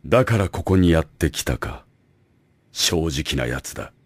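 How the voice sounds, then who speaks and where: An actor playing a scheming, arrogant academy director in an anime audio drama, speaking in a smug, measured, formal way. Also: male voice, a bass voice, animated, husky, no room sound, heavily acted. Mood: coolly amused.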